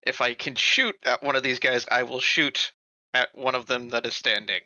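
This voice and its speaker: Very bright, forceful man playing a game. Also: mid-range voice, fairly even intonation, moderate pace, no room sound, muffled recording. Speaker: player in a tabletop roleplaying game. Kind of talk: casual game chat.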